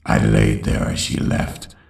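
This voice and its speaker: A man playing a brooding unicorn villain who solemnly narrates his tormented youth in a gravelly voice, as in a dramatic comic dub. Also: rumbling low, level tone, slow pace, darkish tone, echoey room, recorded from a distance, full character voice. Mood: somber, brooding. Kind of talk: scripted first-person dramatic narration.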